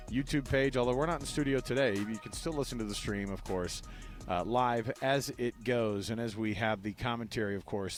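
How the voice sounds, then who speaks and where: Conversational American male sports radio host. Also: medium pitch. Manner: conversational, rambling asides. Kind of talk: casual live sports talk radio. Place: live remote broadcast from a ballpark event.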